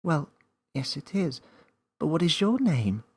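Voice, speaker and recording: A man's voice: fairly high pitch, sing-song, measured pace, breathy edge, pitched above the performer's own voice, heavily acted. A man voicing a curious seven-year-old girl in a children's story, earnest, questioning and easily frustrated.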